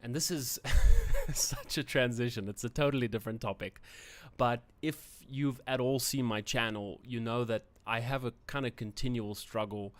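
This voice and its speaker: A man's voice: medium pitch, moderate pace, few pauses. A young adult man with a South African accent and Afrikaans as his first language, hosting a casual livestream and rambling between topics with fillers and self-corrections. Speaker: channel host.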